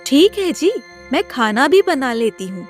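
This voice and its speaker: High-voiced, gentle young village wife played by a woman. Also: big pitch swings, measured pace, faint music behind. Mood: caring. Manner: gentle, reassuring. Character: kind, selfless young married village woman. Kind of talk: dramatized Hindi moral story.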